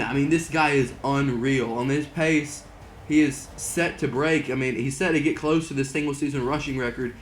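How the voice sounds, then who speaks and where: A young man calls a football video game like a broadcast announcer, his voice sitting a bit high and starting to wear thin from all the calling. He builds to near-shouts on the big plays, and a faint hiss of noise runs under the recording. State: losing his voice. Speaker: gaming channel host doing play-by-play. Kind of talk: mock broadcast sports commentary over gameplay.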